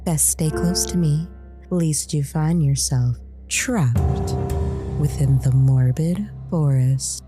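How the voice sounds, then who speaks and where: Eerie forest guide played by a woman, low-voiced and beckoning, horror trailer narration over music. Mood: eerie, inviting. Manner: slow, ominous, beckoning. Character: eerie woman forest ranger guiding lost travelers. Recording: music behind the voice.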